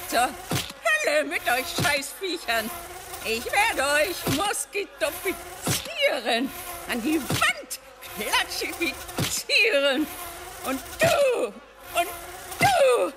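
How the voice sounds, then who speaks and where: Casting: fierce old grandmother for radio drama, extremely shrill, halting and slow between outbursts. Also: wildly swooping pitch, very noisy, exaggerated voice. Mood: furious. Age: older. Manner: shrieking, halting exclamations with invented words. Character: cranky old Indian grandmother hunting mosquitoes, fierce-tempered. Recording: insects buzzing loudly around her.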